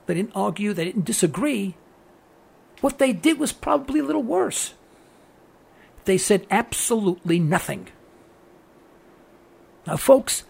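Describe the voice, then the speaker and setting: A man's voice: high voice, creaky, breathy edge. A man with a New York accent hosting a political talk radio show, delivering an earnest, preachy monologue that repeats phrases for emphasis.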